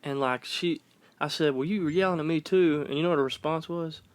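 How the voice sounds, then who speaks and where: A man's voice: on the high side, fairly quick, no room sound. A young man, co-hosting a casual podcast in a plain-spoken, easygoing way. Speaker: podcast co-host. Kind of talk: casual chat.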